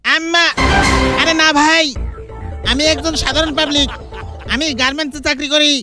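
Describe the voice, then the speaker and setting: A man's voice: very high-pitched, steady tone, moderate pace, loud music behind the voice. A man voicing a naive, flustered working-class husband in a comic cartoon, with a Bangladeshi accent. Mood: exasperated.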